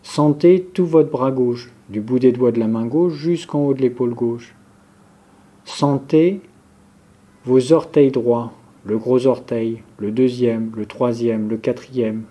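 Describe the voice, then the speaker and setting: Male meditation guide: mid-pitched, dark relaxed tone, unhurried, calm instructional delivery. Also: breathy edge. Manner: calm, measured, instructive, listing step by step. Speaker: yoga nidra instructor.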